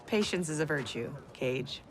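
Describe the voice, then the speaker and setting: A woman's voice: deep voice, lively intonation, moderate pace, slight background noise. A woman playing a tough, defiant military fighter and protective mother in a video game cutscene, speaking in a curt, commanding way. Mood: wry. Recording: loud music, gunfire behind the voice.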